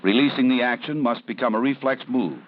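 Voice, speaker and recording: A man narrating a 1970s police training film in a measured, serious, instructive way. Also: medium pitch. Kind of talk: scripted documentary narration. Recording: dull 1970s film soundtrack with faint hiss.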